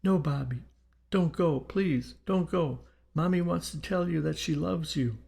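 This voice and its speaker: A man's voice: mid-range voice, fairly even intonation, moderate pace, dark tone, pitched above the performer's own voice, natural voice. A man voicing a grieving mother in an audiobook, tender and pleading as she speaks to her dead son. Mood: desperate pleading.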